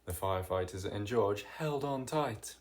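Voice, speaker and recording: A narrator reading a children's picture book aloud in an expressive, deliberate way with dramatic emphasis. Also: sits low. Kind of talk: read-aloud children's storytelling.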